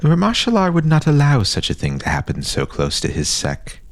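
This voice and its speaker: A man's voice: fairly high pitch, huge pitch swings, normal speed, dry recording, pitched above the performer's own voice. Man voicing a pompous, bossy boy ringleader. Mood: secretive, boastful. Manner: pompous, formal, insistent. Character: bossy, grandiose older boy pushing oaths on friends.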